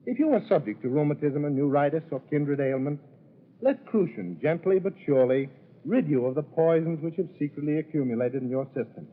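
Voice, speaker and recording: A man's voice: on the high side, expressive, a little fast. A man as a sponsor's announcer reading a formal, solemn 1930s radio advertisement. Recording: tinny, scratchy-voiced 1930s radio recording.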